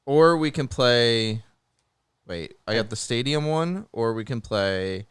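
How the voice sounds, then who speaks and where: A man chatting casually as a podcast host, in relaxed, informal banter. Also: mid-range voice, slow, clean tone, dry recording.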